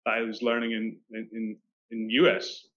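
A Scottish man in his thirties, an architect talking casually in an interview over a video call, animated and full of restarts. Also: fairly low pitch, normal speed. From Scotland. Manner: animated, gestures with hands, many restarts. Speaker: architect guest. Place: indoor room on video call. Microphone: video call webcam. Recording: dull lo-fi call audio.

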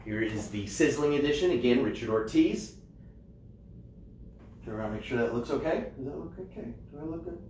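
A man's voice: medium pitch, lively intonation, fairly quick, a little mellow, slight background noise. An American man hosting a live shopping show in a casual, jokey, enthusiastic way. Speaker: host of a comics shopping show. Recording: roomy echo, distant microphone.

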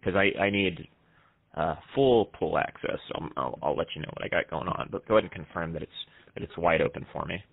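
A man's voice: sits low, fairly quick, gravelly, dry recording. A man playing a cocky, folksy jet ski stuntman who rambles self-importantly on a prank phone call. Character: cocky, casual county-famous male jet ski stuntman. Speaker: prank caller.

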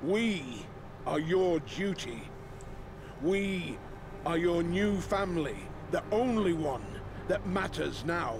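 Stern old commander, slow and grave. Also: male voice, a little high, lively intonation, background noise. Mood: solemn, stern. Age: older. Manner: grave, deliberate, oratorical. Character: stern old lord commander addressing his sworn brothers. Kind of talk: solemn scripted speech in a fantasy game. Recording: game audio with clear background ambience.

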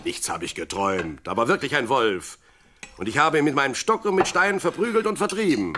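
An actor playing a plucky, devout young shepherd, the youngest brother, with earnest, dramatic delivery in an audio drama. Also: male voice, mid-range voice, animated, a little fast, lightly acted. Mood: indignant, defiant. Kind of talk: German audio drama acting. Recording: clearly noisy radio play with sheep sounds.

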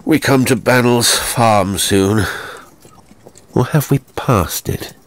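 A man's voice: medium pitch, takes it slowly, voice pushed up, close to an everyday voice. A man voicing a drunk young English nobleman, jolly and mocking, with a boisterous, slurred, teasing manner in a horror story. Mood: confused, mocking.

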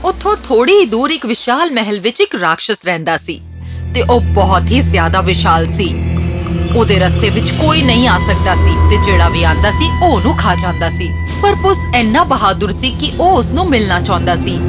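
Female narrator for children's stories, animated and swooping, slightly high, over loud music. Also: moderate pace. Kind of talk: scripted children's storytelling narration.

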